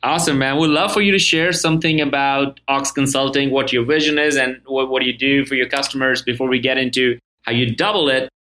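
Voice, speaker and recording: A man's voice: medium pitch, fairly even intonation, fairly quick, few pauses, bright, forceful tone. A man hosting a business podcast, enthusiastic and chatty, with frequent fillers and restarts. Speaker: podcast host.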